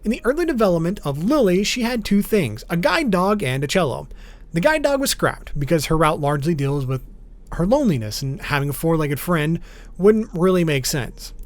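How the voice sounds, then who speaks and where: A man's voice: a little high, sing-song, normal speed. A man narrating an explainer video as its host, in an informal, matter-of-fact way, with music behind him. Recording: background music under voice.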